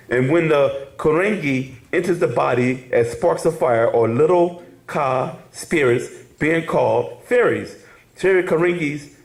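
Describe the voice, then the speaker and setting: A man's voice: a little high, echoey room. A man teaching a casual class, rambling and laughing, often asking "you hear me?". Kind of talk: casual spoken class lecture.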